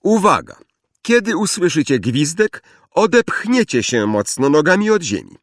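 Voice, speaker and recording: A man voicing a brisk, strict female flying teacher at a wizard school, giving emphatic commands in an audiobook. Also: fairly high pitch, sing-song, dry recording, clean studio sound, pitched above the performer's own voice. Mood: brisk, commanding. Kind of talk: audiobook character voice.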